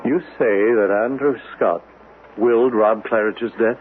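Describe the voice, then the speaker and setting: An actor playing a grave, pious reverend in a radio mystery drama, solemn and deliberate. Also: male voice, lowish voice, soft background music, close to an everyday voice. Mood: troubled. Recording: muffled, noisy old radio broadcast.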